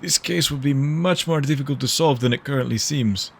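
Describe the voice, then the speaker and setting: A senior male detective in a sci-fi audio drama, played by a man. He speaks in a measured, mentoring way, reasoning through each point. His voice has a noticeable gravelly fry. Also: mid-range voice.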